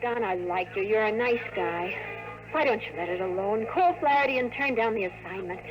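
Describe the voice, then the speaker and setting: A woman's voice: mid-range voice, fairly quick, music in the background, lightly acted, poor audio. An actress playing an anxious, kind young woman, hesitant and pleading, in a 1950s radio drama. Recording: old radio recording.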